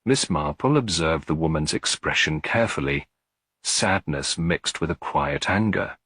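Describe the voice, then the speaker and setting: Male audiobook narrator, husky low voice, measured literary delivery, close dry sound. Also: expressive, a bit bright, no room sound. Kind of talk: scripted mystery story narration.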